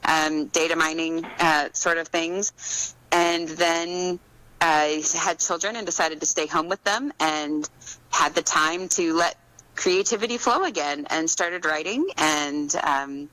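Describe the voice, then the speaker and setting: Female author guest, low-pitched with a bright edge, conversational. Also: takes it slowly, rough recording. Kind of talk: casual interview chat.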